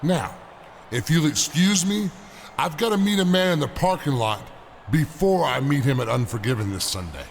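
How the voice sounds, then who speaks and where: A man's voice: a little high, lively intonation, normal speed, creaky. A man playing a cocky, dismissive big pro wrestler in a video game cutscene, with a smug, swaggering manner. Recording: noisy game cutscene audio.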